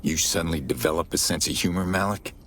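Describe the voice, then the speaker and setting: An actor playing a gritty, defiant resistance fighter in a video game, terse and dry, with a gravelly husky voice. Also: male voice, sits low, quick pace, fairly bright tone, a little hiss or hum. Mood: blunt, tense. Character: blunt, defiant, gritty male resistance operative. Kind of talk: video game cutscene dialogue.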